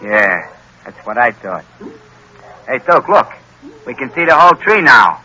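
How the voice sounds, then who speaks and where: A man playing an obedient but wary gangster henchman in an old-time radio drama, using slangy, rough grammar. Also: mid-range voice, big pitch swings, measured pace, sharp tone, exaggerated voice. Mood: eager, obedient. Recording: faintly noisy vintage radio recording.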